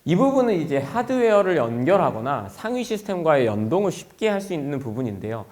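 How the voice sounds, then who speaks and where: A man delivers a product presentation in the manner of a company executive at a forum. His voice sits fairly high for a man and moves with very lively intonation. He explains things clearly, point by point, for a business audience.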